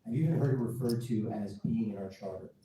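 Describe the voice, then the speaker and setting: A man's voice: on the low side, fast, mellow, a little hiss or hum. A man playing an emphatic town attorney, distant. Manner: emphatic, insistent correction. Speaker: municipal attorney. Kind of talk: public meeting remarks. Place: council chamber. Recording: echoey chamber from far off.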